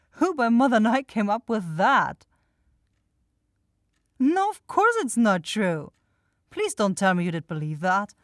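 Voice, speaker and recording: Woman doing an aloof vampire girl asmr roleplay, slow n teasing, kinda sardonic, totally dry sound no room. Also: mid-range voice, dry recording. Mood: wry, amused. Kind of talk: ASMR roleplay addressed to the listener.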